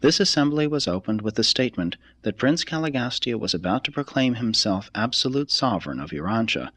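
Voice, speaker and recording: A man reads a religious text aloud as scripted narration, in a husky voice with a measured, formal and deliberate delivery. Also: mid-range voice, animated, fairly bright tone, no room sound. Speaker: audiobook reader.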